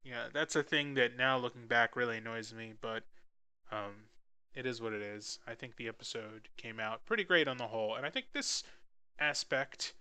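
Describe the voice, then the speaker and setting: Young male tutorial host, casual and self-deprecating, bright and clean tone. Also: mid-range voice, normal speed, smooth. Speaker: audio drama editor giving a tutorial.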